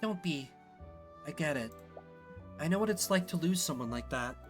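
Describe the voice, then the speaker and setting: A man voicing a gentle, caring young woman in a visual novel, soft and earnest and easily flustered. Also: a little high, expressive, normal speed, soft background music, natural voice. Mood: tender, comforting. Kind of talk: visual novel character dialogue.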